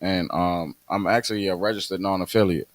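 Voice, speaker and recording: A man co-hosting a podcast, talking casually in a halting way with many fillers and restarts. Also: sits low, fairly even intonation, no room sound. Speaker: podcast co-host.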